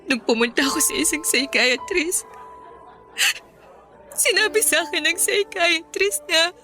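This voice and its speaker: A woman's voice: extremely high pitch, lively intonation, unhurried, pushed and bright, faint music behind, heavily acted. A woman playing an emotional, defensive young girlfriend in a Filipino radio drama, pleading and exasperated. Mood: tearful, anguished. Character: defensive, distressed young girlfriend confessing compulsive spending.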